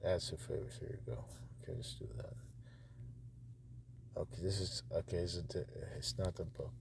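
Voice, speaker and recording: A man's voice: sits low, steady tone, normal speed, vocal fry. A man giving casual commentary over a screen recording, thinking aloud in a hesitant mutter. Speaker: audiobook publisher reviewing narrator auditions. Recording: noisy phone screen recording.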